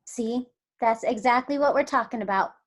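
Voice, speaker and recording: A woman's voice: on the high side, normal speed, natural voice. A woman voicing a blunt, impatient alien trainer in a comedy table read. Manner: blunt, commanding.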